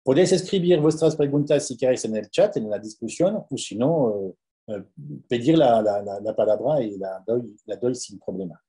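A man with a French accent speaking Spanish as a conference presenter on a video call, halting with many repeated words and fillers. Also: medium pitch, mellow. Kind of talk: academic Q&A over video call.

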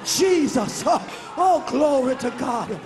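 A man's voice: very high-pitched, lively intonation, takes it slowly, rough recording. A man preaching and praying in a fervent, declamatory charismatic style, repeating phrases for emphasis. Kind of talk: fervent charismatic sermon and prayer. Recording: live worship service with music and crowd. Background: music and congregation.

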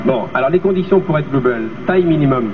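Brisk middle-aged TV host. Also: male voice, a little high, far from the mic. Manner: brisk, repeats the guest's answers. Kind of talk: TV variety show interview. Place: TV studio stage with dancers. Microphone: handheld mic. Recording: tinny, noisy old TV broadcast, off-mic.

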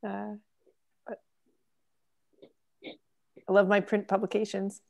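A woman's voice: medium pitch, level tone, slow, creaky, a little mellow. Chatty young woman on a video call. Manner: chatty, frequent fillers and laughs. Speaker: magazine editor-in-chief. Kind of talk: casual video call chat. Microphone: earbud headset on video call.

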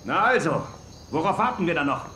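A man's voice: on the high side, very animated, brisk. An actor playing a practical, observant and level-headed Western lawman in an audio drama. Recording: roomy, with faint noise.

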